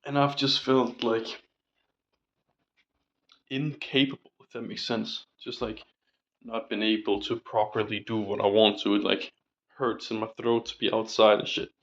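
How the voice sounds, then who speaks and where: A man's voice: lowish voice, little pitch movement, measured pace, fairly bright tone, highs cut off. A young man rambling casually in a diary vlog, full of fillers and casual swearing, his voice still recovering from a sore throat. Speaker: vlogger.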